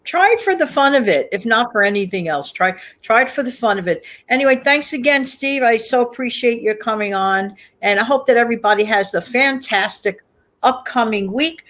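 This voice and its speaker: A woman's voice: a little high, lively intonation, fairly quick, fairly bright tone. A woman hosting a casual livestream, chatting in an emphatic way and repeating phrases for emphasis. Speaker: show host.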